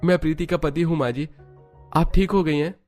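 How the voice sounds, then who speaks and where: A man's voice: on the high side, fairly even intonation, a little fast, a little mellow, faint music behind, pitched above the performer's own voice, natural voice. A man voicing an earnest, lovelorn young husband in a family drama, with a pleading delivery.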